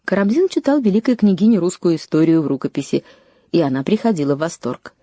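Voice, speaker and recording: A woman reading a scripted documentary narration in a measured way, lifting her voice on key phrases. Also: lowish voice, sing-song, dark tone, no echo, clean studio sound.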